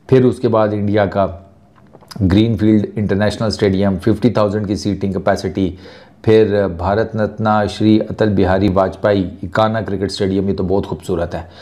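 A man's voice: fairly low pitch, little pitch movement, normal speed, dark tone. A man, hosting a chatty sports news video on a lapel mic, joking and mixing in English words. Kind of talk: casual talking-head sports commentary. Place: small furnished studio set indoors.

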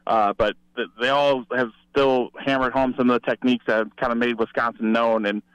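Smooth-voiced man talking football. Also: medium pitch, little pitch movement, fairly quick, a bit bright, no room sound, highs cut off. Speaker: sports reporter, guest analyst. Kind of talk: conversational football analysis.

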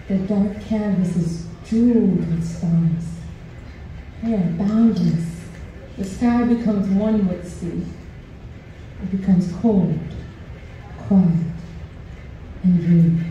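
Young woman poet, slow measured reading, very mellow tone, distant echoey venue sound. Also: mid-range voice, a little hiss or hum. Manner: slow, measured, reading from a book at a mic stand. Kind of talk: spoken word poetry reading. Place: small performance venue stage, indoors. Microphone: stand mic, filmed from the audience.

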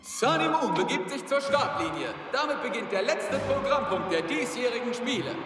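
A man playing a bombastic, excitable sports announcer in a children's cartoon, showy and proclaiming. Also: extremely high pitch, moderate pace. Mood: grandly proclaiming. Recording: stadium reverb, very loud music.

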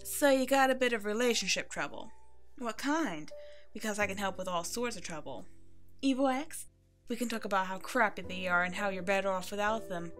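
A woman's voice: medium pitch, fairly quick, a bit bright, close to an everyday voice. Woman doing a bubbly caring hairstylist in a comfort asmr roleplay, reassuring and playful, bit of music under it. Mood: sympathetic, frank. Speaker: voice actor playing a hairstylist. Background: background music.

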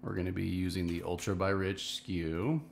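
A man's voice: low voice, flat delivery, moderate pace, creaky, slight background noise. A man in his thirties hosting a casual screen-share marketing tutorial, talking step by step in an instructional way from a small home office.

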